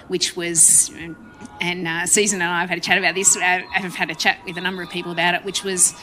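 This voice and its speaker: An Australian woman speaking on a public panel, chatty and relaxed, with many ums. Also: on the low side. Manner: chatty, frequent ums, laughs easily. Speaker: panel guest, council presiding member. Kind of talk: panel discussion talk.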